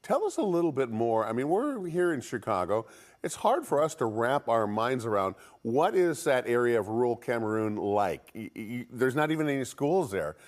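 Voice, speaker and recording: A man's voice: mid-range voice, big pitch swings, normal speed. An American man co-hosting live television, asking questions in a casual, conversational way. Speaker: TV news co-host.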